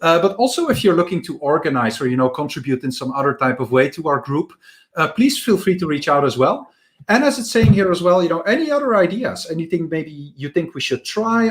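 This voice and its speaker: A man hosting an online meetup, making loose, rambling announcements with lots of "you know" and "right?". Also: fairly high pitch. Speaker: meetup organizer and host. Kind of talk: casual announcements.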